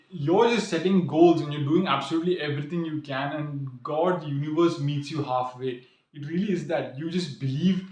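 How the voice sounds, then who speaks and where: An earnest young man talks straight to the camera in a loose, rambling way, stacking "like" and "literally" as he goes. His voice sits a bit high for a man. The sound comes from a small room with a noticeable echo. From grew up in the UAE. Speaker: vlogger. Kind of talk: casual vlog monologue. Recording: echoey room.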